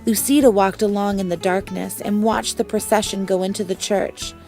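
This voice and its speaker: A woman reading a children's storybook aloud as a teacher, with expressive, deliberate phrasing. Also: mid-range voice, faint music behind.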